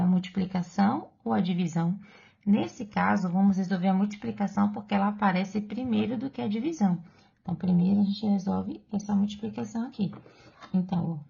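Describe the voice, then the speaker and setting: Husky, gravelly Brazilian woman teaching math. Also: medium pitch, dark tone, muffled recording. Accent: Brazilian Portuguese. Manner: patient, explanatory, checks in with the viewer. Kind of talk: step-by-step exam math lesson.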